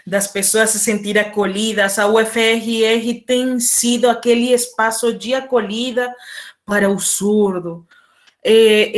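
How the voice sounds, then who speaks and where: A woman with a Venezuelan Spanish accent, a native Spanish speaker, interpreting aloud phrase by phrase in slow, halting Portuguese. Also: medium pitch. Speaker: sign language interpreter and teacher. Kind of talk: voicing a signer's testimony, then commenting on a video.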